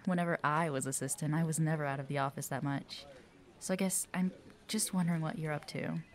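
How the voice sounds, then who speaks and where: Friendly, curious female office colleague, played by a woman, lower-pitched, chatty and supportive, for audio roleplay. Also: fairly even intonation, a little fast, lightly acted. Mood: caring, curious.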